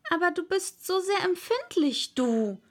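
A polite, curious young girl from a storybook, played by a woman. Her voice is high and lively in pitch, earnest and naive, and she speaks eagerly without hurrying. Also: unhurried, heavily acted. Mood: pleading. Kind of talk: storybook character acting.

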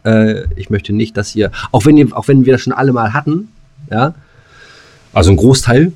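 A man's voice: mid-range voice, normal speed, darkish tone. A man chatting as a podcast co-host in a loose, rambling, hesitant way with some crude slang. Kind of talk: casual podcast chat.

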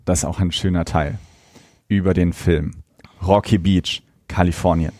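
A man's voice: low voice, fairly even intonation, moderate pace, darkish tone, no room sound, clean studio sound. A man co-hosting a podcast, in casual review talk and reading aloud. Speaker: podcast co-host.

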